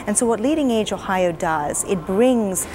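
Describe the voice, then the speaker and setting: A woman in her forties, an advocacy director answering interview questions in an earnest, measured way with frequent fillers, seated in a hotel lounge. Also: mid-range voice, expressive, a little mellow, slight background noise, rough recording.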